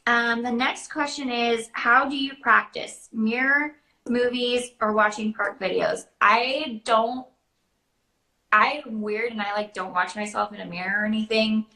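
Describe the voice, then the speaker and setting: A woman's voice: a little high, steady tone, a little slow, bright, forceful tone. A woman answering questions in a casual, informal chat, peppering her hesitant phrasing with "like". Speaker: guest answering questions about character voices. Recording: roomy, echoey sound.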